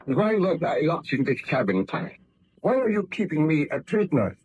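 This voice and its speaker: An actor, a man, playing a weak, haunted and obsessed gentleman scientist, formal and melodramatic, in old-time radio drama. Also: fairly high pitch, sing-song, normal speed, a little hiss or hum. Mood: indignant. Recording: dull, muffled vintage radio recording.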